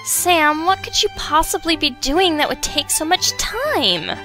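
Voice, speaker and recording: A woman playing an exasperated, responsible teenage schoolgirl in an audio drama, chiding and expressive. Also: sits high, measured pace, music in the background. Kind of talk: scripted audio drama acting.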